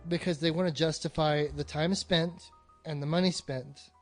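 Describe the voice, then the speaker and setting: A man's voice: fairly high pitch, steady tone, normal speed, soft background music. A man talking casually and emphatically about films as a podcast co-host, opinionated and swearing freely. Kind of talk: casual film discussion chat.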